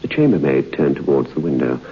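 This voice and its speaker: An actor playing the narrator of an old-time radio ghost story, telling it in a measured, suspenseful way. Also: male voice, extremely low pitch, animated, slightly rough, heavily acted. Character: storyteller recounting a friend's eerie experience. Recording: muffled old radio broadcast, faint noise.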